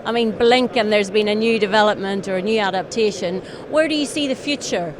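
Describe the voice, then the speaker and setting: A woman's voice: medium pitch, brisk. A woman interviewer speaking conversationally, asking questions and commenting on the answers. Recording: clear crowd noise of a busy event.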